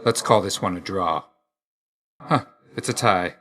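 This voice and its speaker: A man playing a grim, aloof god of death in a video game, terse and deadpan, stern yet grudgingly loyal. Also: low voice, measured pace. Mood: grudging approval. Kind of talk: scripted game character lines.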